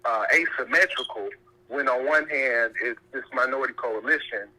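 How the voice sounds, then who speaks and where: A man calling in to share opinions conversationally, halting and circling back to rephrase his points, over a telephone-like line. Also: medium pitch, pushed and bright. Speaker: caller.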